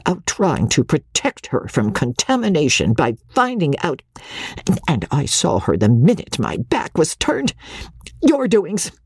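A woman doing a shrill, gasping frantic wife. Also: slightly rough, no room sound, clean studio sound, heavily acted. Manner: high, quavering and breathless. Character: frantic, strict middle-aged wife, gasping.

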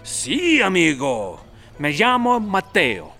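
A man's voice: high-pitched, wildly swooping pitch, takes it slowly, sharp tone, soft background music, exaggerated voice. An actor playing a cheerful imaginary man who scatters stock Spanish phrases into his speech. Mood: jovial. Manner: playful, drops in stock Spanish words. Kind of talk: audio drama acting.

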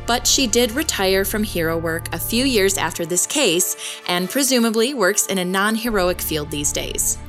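An actress playing an investigative podcast host, delivering scripted documentary narration. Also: female voice, mid-range voice, a bit bright, lightly acted. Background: music.

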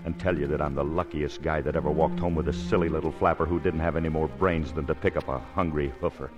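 A man's voice: a bass voice, fairly even intonation, fast, muffled recording. An actor playing a cocky, slangy young song-and-dance man in a 1950s radio comedy drama. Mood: teasing romance. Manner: brash, slangy, breezy. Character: cocky, wisecracking young male hoofer, newly married. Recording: old radio broadcast with music behind.

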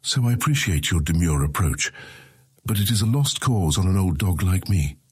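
An actor playing a courteous, weary old veteran guard with a husky voice in a fantasy audiobook. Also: male voice, deep voice, lively intonation, moderate pace, no echo, studio quality, heavily acted. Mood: wry amusement. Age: older. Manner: formal, gently world-weary. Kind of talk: dramatized fantasy audiobook acting.

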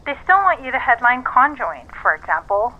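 An actress playing a brisk, persuasive businesswoman on a phone call in a naturalistic audio drama, with a businesslike, coaxing manner. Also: a little high, big pitch swings. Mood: matter-of-fact. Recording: dull, band-limited phone line.

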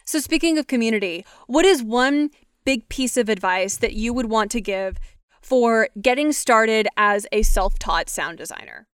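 A woman's voice: a little high, expressive, moderate pace, smooth, dry recording, studio quality. A woman hosting a podcast, asking her guests questions in an easy conversational way. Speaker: podcast host. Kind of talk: interviewing.

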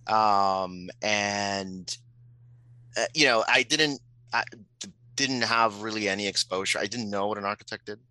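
Very bright-voiced man, hesitant and reflective. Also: lowish voice, no room sound, poor audio. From Puerto Rico. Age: middle-aged. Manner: hesitant, reflective, halting phrasing. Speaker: interview guest, architect. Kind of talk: casual personal interview.

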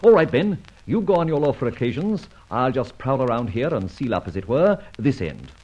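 Swooping, husky gentleman rogue, dull recording. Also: mid-range voice, sing-song, brisk. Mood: sly, musing. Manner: flippant, teasing, debonair. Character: suave, wry gentleman adventurer and rogue. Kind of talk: radio crime serial acting. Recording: dull old radio recording.

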